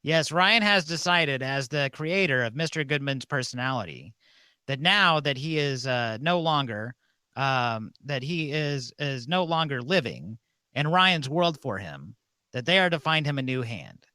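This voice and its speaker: A man's voice: medium pitch, lively intonation, unhurried, pushed and bright, clean tone, dry recording. A man casually hosting a comedic ceremony on a livestream. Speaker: host of a comedic mock-church livestream.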